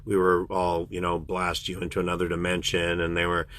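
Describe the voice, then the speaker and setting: A man's voice: brisk, no echo. A man from Canada, a voice actor, chatting casually with fans on a livestream and answering their questions. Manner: chatty and animated, jumps up in pitch when excited. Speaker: voice actor hosting a fan livestream. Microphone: phone livestream.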